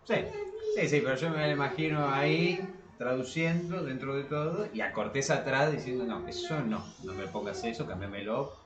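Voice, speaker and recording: A man's voice: medium pitch, moderate pace. A man with a Rioplatense Spanish accent co-hosting a casual discussion podcast, speaking informally and emphatically, often repeating his phrases. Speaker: podcast co-host. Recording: echoey room, distant from microphone.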